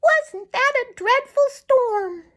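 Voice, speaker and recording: Storybook chickadee, played by a woman: tiny, weak but cheery little fellow, very high squeaky chirp. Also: animated, slow, fairly bright tone, heavily acted. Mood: grateful. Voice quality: squeaky, thin, faint. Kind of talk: children's storybook character voice.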